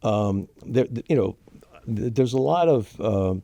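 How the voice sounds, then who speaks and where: An older man, born in Germany to Ukrainian parents with Ukrainian as his first language, telling his family story in a halting, emotional way with many fillers and restarts. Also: mid-range voice, animated, gravelly, dark tone, dry recording. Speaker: guest. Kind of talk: interview, personal story.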